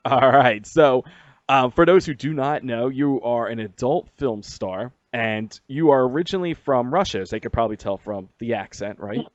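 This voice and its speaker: A man's voice: medium pitch, normal speed, dry recording, highs cut off. A man hosting a casual radio interview, hyped and crude, swearing freely and tripping over his words. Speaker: radio host.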